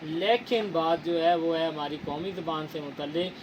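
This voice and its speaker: A man's voice: on the high side, normal speed, background noise. A man from Punjab, Pakistan hosting an interview in a casual chat, speaking in an earnest, lecturing way with formal words and many fillers. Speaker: interviewer, channel host.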